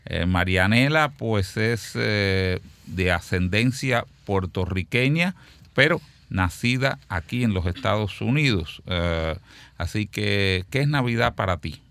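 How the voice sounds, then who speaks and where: Male radio host, low voice, dry close sound, formal and measured with fillers. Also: expressive, gravelly, fairly bright tone, clean tone, dry recording. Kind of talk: community radio panel.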